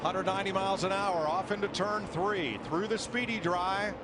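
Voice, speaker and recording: High-pitched male race commentator over roaring engines. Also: moderate pace. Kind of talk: live motor racing commentary. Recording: loud engine noise behind. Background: roaring race cars at a speedway.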